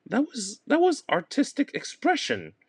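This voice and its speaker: A man's voice: very high voice, huge pitch swings, normal speed, breathy edge, no echo, clean studio sound, voice pushed up, heavily acted. A man playing a manic, lovelorn painter in a stage comedy, melodramatic and stammering with feverish passion. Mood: wounded.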